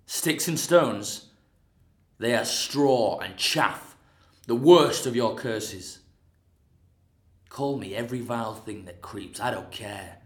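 A young man in his twenties playing a scornful, exasperated ancient Greek hero in a loud, lecturing monologue, recorded in a roomy space. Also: mid-range voice, slow, long pauses, lightly acted. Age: 20s. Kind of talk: drama school monologue. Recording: roomy echo.

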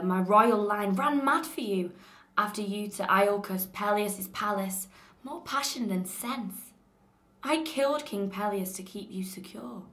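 A woman's voice: medium pitch, fairly bright tone, close to an everyday voice. A young woman acting a betrayed, furious sorceress queen in a bitter, mocking dramatic monologue. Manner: sarcastic, bitter, rising to outbursts. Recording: roomy echo.

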